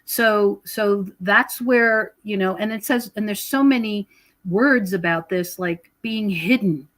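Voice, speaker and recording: A woman's voice: mid-range voice, takes it slowly. A middle-aged or older woman speaking as an interview guest, explaining spiritual teachings in an earnest, conversational way. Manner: earnest, explanatory, quotes scripture. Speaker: podcast guest, author and researcher.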